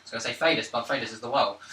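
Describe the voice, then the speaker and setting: A man playing a tabletop role-playing game, chatting casually and jokingly with the others at the table. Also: mid-range voice. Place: gaming table in an echoey room. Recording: echoey room, distant mic, loud noise, clicks.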